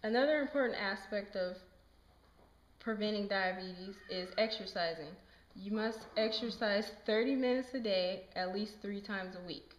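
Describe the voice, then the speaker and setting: A young woman playing a calm nurse in an amateur educational puppet show, explaining things plainly and reassuringly. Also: mid-range voice, moderate pace, lightly acted. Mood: instructive. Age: young adult. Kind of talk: amateur scripted educational dialogue. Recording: echoey amateur room recording.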